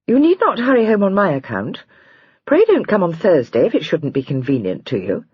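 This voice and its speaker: A woman plays a young wife in a period audiobook, speaking high and emotionally with wide swings of tone. She turns quickly from sulky to gushing. The voice is slightly breathy and the recording dry. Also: huge pitch swings, no echo, highs cut off, full character voice. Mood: pettish. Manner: pettish, then tearful and gushing. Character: fretful young wife, warm-hearted and easily moved.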